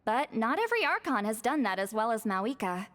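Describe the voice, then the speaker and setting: A woman voicing a composed, scholarly character in a video game, measured, thoughtful and gently firm. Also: fairly high pitch, sing-song.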